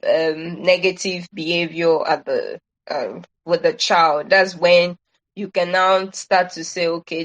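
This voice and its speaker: A woman's voice: on the low side, poor audio. A woman giving an informal online presentation, advising in an earnest, emphatic way and repeating her points. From Nigeria.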